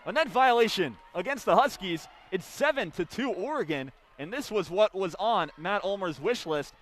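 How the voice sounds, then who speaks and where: Radio volleyball announcer, young male, moderately high voice, live play-by-play delivery. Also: expressive, normal speed.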